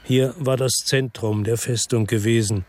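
A man's voice: medium pitch, steady tone, darkish tone, lightly acted. An actor playing a brooding investigator who narrates his story in a radio drama. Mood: somber. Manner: slow, somber narration. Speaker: first-person narrator.

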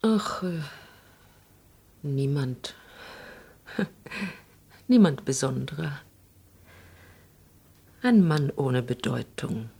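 A woman's voice: rumbling low, animated, extremely slow, gravelly, long pauses, warm tone, slightly rough, full character voice. An actress playing a proud, wounded middle-aged mother in a radio play, grave, restrained and bitter. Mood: evasive. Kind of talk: 1960s radio play acting.

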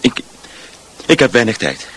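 An actor playing a nervous, tongue-tied householder in a radio drama, stammering and halting as he speaks. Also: mid-range voice, sing-song, a bit bright, slightly rough, full character voice. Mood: flustered, agitated.

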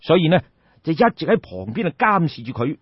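Cantonese guy doing old-style storytelling, raspy voice, dull echoey recording, talks right to listeners. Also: on the high side, sing-song, a little fast. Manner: colloquial, theatrical, addresses listeners directly. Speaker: storyteller, audiobook narrator. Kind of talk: traditional Cantonese oral storytelling, dramatic narration.

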